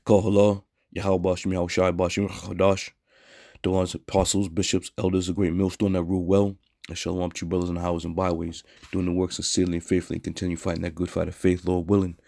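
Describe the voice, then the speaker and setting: Hoarse guy preaching, real deep voice, blunt and scornful, keeps saying all right. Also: monotone, fast, mellow, no room sound, studio quality. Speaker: teacher. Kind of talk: street preaching scripture lesson.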